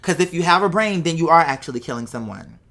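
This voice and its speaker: Low-voiced woman musing casually. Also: lively intonation, quick pace, a bit bright. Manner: conversational and musing, poses rhetorical questions and checks in with the listener. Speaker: host of a live show. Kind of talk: casual unscripted monologue to followers.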